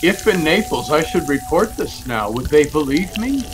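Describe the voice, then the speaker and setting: A man's voice: on the high side, very animated, moderate pace, poor audio. A man playing a weary, kindly old courtier with a courtly, wondering manner in a Shakespearean radio play. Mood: marvelling. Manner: courtly, wondering, long-winded.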